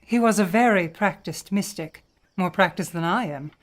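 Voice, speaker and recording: A woman's voice: on the low side, normal speed, close to an everyday voice. A woman in a radio play acting an earnest, stubborn older occult scholar, firm, pointed and articulate. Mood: convinced.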